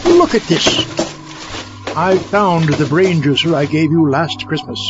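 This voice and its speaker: A man's voice: fairly high pitch, measured pace, lo-fi sound, over loud music. A man voicing a cranky, self-pitying time-traveller, melodramatic and complaining, in a comedy audio play. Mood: indignant.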